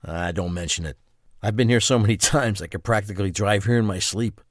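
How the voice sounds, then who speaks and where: An actor playing a weary, sceptical middle-aged friend in an audio drama. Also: male voice, lowish voice, fairly quick, no room sound, clean studio sound, natural voice. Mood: weary, dry.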